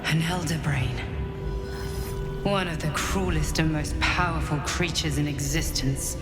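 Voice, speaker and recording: An actress playing a grave, ominous dark fantasy figure in a game cutscene, speaking with deliberate awe. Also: female voice, sits low. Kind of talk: video game cutscene acting. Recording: very loud music behind.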